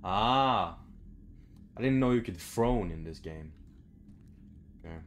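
A man's voice: fairly low pitch, animated, slow, slight background noise. A young man giving casual, sweary let's play commentary, muttering to himself as he goes. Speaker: gaming video host. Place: small room indoors at home.

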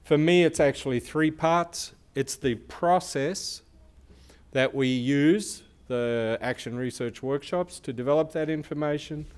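A man with a big loud voice giving a conference presentation as a research institute speaker, explaining and asking the audience questions. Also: fairly high pitch, a little slow, clean tone.

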